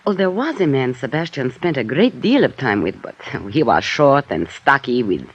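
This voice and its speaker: An actress playing an earnest European woman under suspicion in an old-time radio drama, hesitant and protesting, with a husky voice. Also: a bass voice, sing-song, normal speed, exaggerated voice. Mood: pleading, earnest. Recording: dull, band-limited old radio recording.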